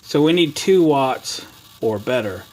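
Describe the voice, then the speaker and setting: A man's voice: mid-range voice, expressive, a little slow, darkish tone, rough recording. An American man hosting a repair how-to video, talking in a casual, folksy way and thinking aloud as he demonstrates. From United States. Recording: clear background noise.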